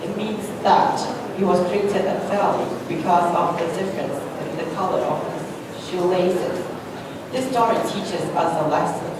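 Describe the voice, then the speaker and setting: A woman's voice: lowish voice, measured pace. A woman co-presenting an event, speaking in a scripted discussion on stage. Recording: reverberant hall, distant mic, noisy ambience.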